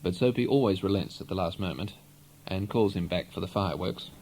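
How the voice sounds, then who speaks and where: A man reading a literary novel aloud in an expressive, measured storytelling manner. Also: sits low. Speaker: audiobook reader. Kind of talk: literary narration, read aloud.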